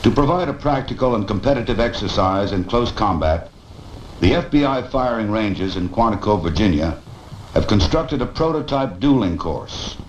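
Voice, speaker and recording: An American man reading a formal, measured, authoritative narration for a 1970s instructional training film. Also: on the low side, lively intonation, a little hiss or hum. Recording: old 1970s film soundtrack, echoey, music. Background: music.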